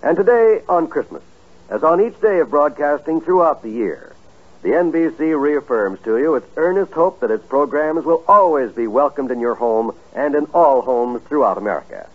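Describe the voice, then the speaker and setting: A man's voice: a little high, expressive, moderate pace, husky. A man announcing formally from a script in stately 1950s network radio style. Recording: tinny, muffled 1950s radio broadcast.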